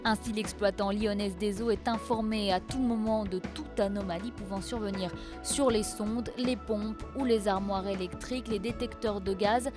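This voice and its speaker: A woman reads a scripted informational narration in a measured, factual way. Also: medium pitch, steady tone. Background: music.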